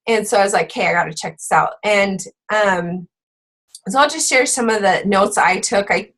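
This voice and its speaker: A woman hosting a book club, a bit hoarse from being sick, chatting casually with lots of fillers. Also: mid-range voice, moderate pace, a bit bright. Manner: chatty, with frequent fillers and laughs. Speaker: fitness coach and book club host.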